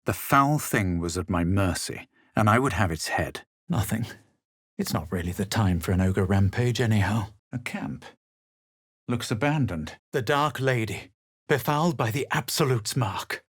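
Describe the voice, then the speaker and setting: A man voicing a haughty, cool young adventurer hero in a video game, theatrical and measured, with a husky voice. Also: on the low side, lively intonation, dry recording, clean studio sound. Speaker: video game player character. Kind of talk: scripted game voice lines, dramatic narration and barks.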